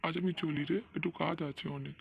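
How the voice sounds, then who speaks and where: A man voicing a gentle, apologetic visiting father in a cartoon, speaking in a deferential way. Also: a little high, fairly even intonation, fairly quick, creaky, noisy background. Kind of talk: cartoon dubbing.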